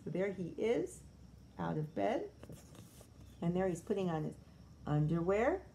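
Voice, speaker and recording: A woman's voice: lowish voice, very animated, a little slow, creaky, warm tone, a little hiss or hum. A woman teacher reading a picture book aloud to young children, expressively, with chatty explanations and questions.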